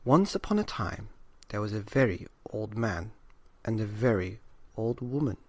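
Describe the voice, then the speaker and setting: A man's voice: fairly low pitch, lively intonation, normal speed, a little mellow, dry recording. A man narrating a children's bedtime story expressively, with dramatic, rhythmic emphasis.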